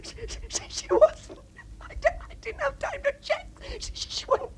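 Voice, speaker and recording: An actor playing a young coal miner, intense and stammering, moving from defiant shouts to broken whispers. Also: male voice, medium pitch, expressive, moderate pace, slight background noise, natural voice. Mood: distraught. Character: desperate young male coal miner, emotional. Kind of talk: film drama acting.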